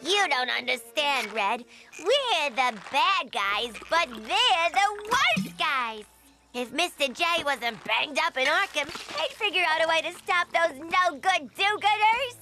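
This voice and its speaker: A woman voicing a zany, childlike, lovelorn female clown villain in an animated video game, with cutesy, theatrical slangy delivery. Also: sits high, slow pace, exaggerated voice. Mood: earnest, distressed. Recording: loud music behind the voice.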